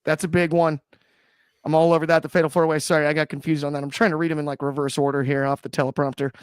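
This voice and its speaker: A man hosting a casual wrestling podcast, jokey and quick to laugh as he steers the talk. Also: on the high side, level tone, clean tone, no echo. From US Midwest. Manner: jokey, laughs often, leads the segments. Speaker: podcast host. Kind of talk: casual chat.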